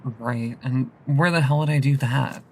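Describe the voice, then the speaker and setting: A woman playing an uneasy young fledgling vampire in an audio drama, halting and wary. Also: extremely low pitch, noisy background. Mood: hesitant, wary.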